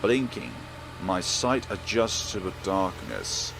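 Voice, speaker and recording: A man's voice: deep voice, big pitch swings, slow, vocal fry, noisy background. A man hosting a let's play, narrating and commenting on a visual novel in a theatrical, emphatic way. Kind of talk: dramatic game narration and commentary.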